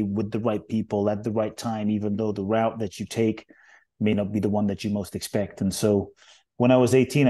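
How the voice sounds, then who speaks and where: A middle-aged man raised in Ghana and London, a guest speaker telling his life story in an earnest, measured way that builds emphasis on key phrases. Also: on the low side, mellow. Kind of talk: reflective storytelling interview.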